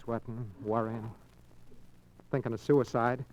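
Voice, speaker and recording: Male actor, weary American embezzler in a slightly noisy vintage radio recording, mid-pitched, resigned and rueful. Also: steady tone, a little slow, a little mellow, muffled recording, lightly acted. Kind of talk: old-time radio drama acting.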